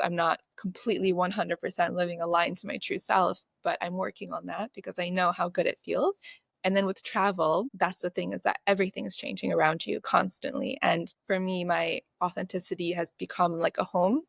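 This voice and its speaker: Woman, gravelly fry, reflective and earnest. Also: lowish voice, no echo. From United States (Chicago). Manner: earnest, thoughtful, with frequent "um". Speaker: guest, workshop facilitator. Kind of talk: reflective podcast conversation.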